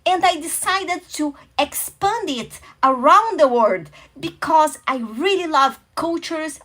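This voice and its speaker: A woman's voice: sits high, a bit bright. A woman from Brazil with a Brazilian Portuguese accent, a native Portuguese speaker hosting a motivational talk, earnest and welcoming, sometimes searching for English words. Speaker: host.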